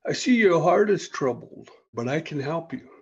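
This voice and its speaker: Man voicing a husky, kindly trickster spirit. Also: fairly high pitch, big pitch swings, moderate pace, dull audio, voice pushed up, heavily acted. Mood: reassuring. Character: playful, kindly male trickster animal spirit.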